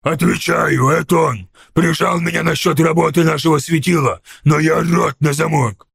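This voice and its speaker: A brash orc mechanic boss for a fantasy audiobook. The voice is heavily gravelly and raspy, with slangy, swaggering orders in a close, dry sound. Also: male voice, fairly high pitch, fairly even intonation, moderate pace, fairly bright tone, dry recording, full character voice. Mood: cocky, dismissive. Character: cocky, brash male orc mechanic boss, rough and bossy.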